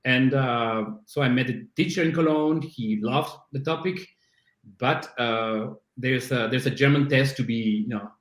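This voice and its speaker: A man's voice: mid-range voice, measured pace. Argentine guy, spanish is his first language, chatty podcast guest, lots of stops and restarts, echoey room. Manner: self-deprecating, many restarts and fillers. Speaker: guest, CrossFit coach. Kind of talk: casual interview chat. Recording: roomy echo.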